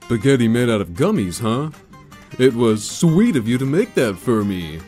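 A man voicing a laid-back, pun-loving cartoon skeleton who teases his brother in a lazy deadpan. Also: medium pitch, moderate pace, natural voice. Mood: deadpan, punning. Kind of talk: comic dub cartoon acting. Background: music.

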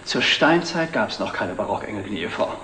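An actor playing a dry, witty middle-aged antiques dealer in a 1960s TV drama, with polished sales patter. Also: male voice, on the low side, sing-song, moderate pace, pushed and bright, rough recording. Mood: wry, amused. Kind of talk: 1960s German TV drama acting. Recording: old TV film, faint noise.

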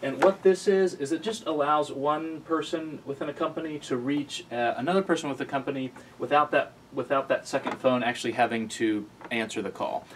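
A young man presenting a casual tech tutorial in an informal, halting way, often correcting himself. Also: mid-range voice, background noise. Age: young adult. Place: small office cubicle indoors, then screen recording voice-over.

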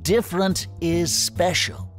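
A man voicing a kindly turtle in a storybook, soft and reassuring. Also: sits high, fairly even intonation, slow pace. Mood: gentle, reassuring. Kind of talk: storybook character read-aloud. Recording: music clearly under the voice.

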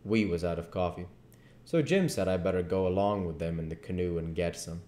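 Guy in his 30s reading a novel out loud, deep voice, echoey living room. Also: brisk. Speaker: narrator reading a novel aloud. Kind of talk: audiobook-style story reading. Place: living room at home, indoors.

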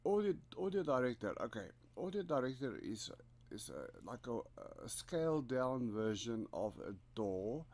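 Slow, low-voiced Afrikaans-accented man. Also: darkish tone. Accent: South African Afrikaans. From South Africa. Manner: rambling, hesitant, many fillers and restarts. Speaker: composer giving a software tutorial. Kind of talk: casual screen-recorded software walkthrough. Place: home studio room.

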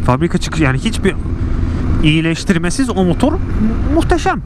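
A man's voice: sits high, wildly swooping pitch, a little fast. Chatty man vlogging from a motorcycle. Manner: rambling, chatty, self-mocking. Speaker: motovlogger. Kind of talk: casual riding vlog chat. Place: riding a motorcycle on city streets. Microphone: helmet mic on a moving motorcycle. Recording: helmet mic with road and wind noise. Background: traffic and engine noise.

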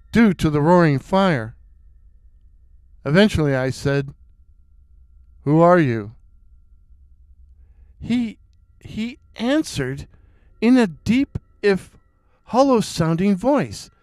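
A man's voice: high voice, dry recording. A man narrating a first-person horror story in a suspenseful way, repeating words for effect.

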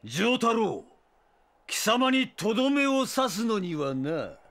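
A man's voice: sits high, sing-song, a bit bright, exaggerated voice. Male anime drama villain: arrogant vampire, theatrical, wildly swinging delivery, long reverb. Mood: cold, triumphant. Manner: theatrical, sneering, drawn-out words, maniacal. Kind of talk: anime audio drama acting.

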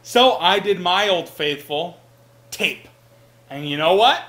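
A man's voice: sits high, expressive, takes it slowly, sharp tone, exaggerated voice. A man playing a bumbling, earnest roommate in a mockumentary comedy sketch, rambling with naive confidence. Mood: earnest, baffled. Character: bumbling, earnest male roommate, self-styled handyman. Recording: echoey room sound.

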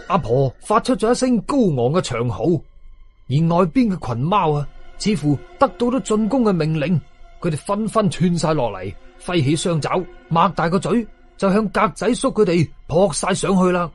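A male storyteller with a Hong Kong Cantonese accent narrates horror fiction colloquially and with suspense. His voice is husky and very expressive, recorded dry over music. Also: sits high, normal speed. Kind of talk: dramatic scripted horror narration.

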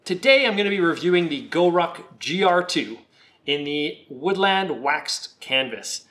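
A man with a slightly croaky voice, recovering from a cold, giving a relaxed, chatty product review on his video channel. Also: a little high, measured pace. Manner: relaxed, chatty, with some fillers.

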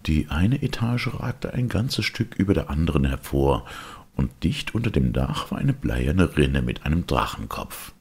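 Very deep, gravelly male storyteller. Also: very animated, unhurried, darkish tone. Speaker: audiobook reader. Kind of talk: scripted fairy-tale narration.

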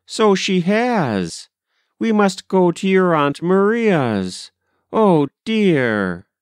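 Man as a genteel, flustered mother, pitched up. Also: big pitch swings, very slow, clear, resonant tone, no echo, clean studio sound, heavily acted. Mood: flustered, practical. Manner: genteel, exclaiming, decisive. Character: proper, flustered Edwardian mother, brisk and practical. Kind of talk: storybook character voice.

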